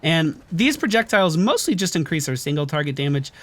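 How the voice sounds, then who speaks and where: A man's voice: a little high, fairly quick. Stuffy-voiced man explaining his game build. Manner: relaxed explaining, frequent uh and um. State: stuffy, recovering from lost voice. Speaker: gaming channel host. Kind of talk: gameplay commentary over footage.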